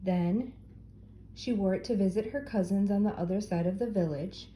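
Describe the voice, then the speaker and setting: Older woman storyteller, very dark mellow tone, reading a children's tale aloud. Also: medium pitch, moderate pace, slight background noise.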